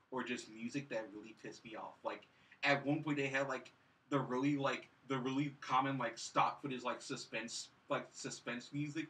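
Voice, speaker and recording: Blunt, animated man, bright voice, off-mic. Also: medium pitch. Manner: blunt, exasperated complaining. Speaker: podcast co-host reviewing films. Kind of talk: casual film review chat. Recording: distant from the mic.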